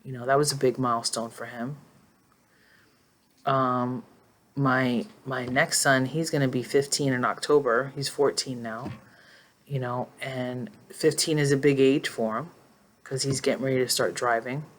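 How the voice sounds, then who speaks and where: A woman's voice: extremely low pitch, steady tone, a little slow, slight background noise. A woman in her forties talking casually and emotionally to her phone in a personal vlog, rambling and reflective. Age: 40s. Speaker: vlogger. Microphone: phone held in hand.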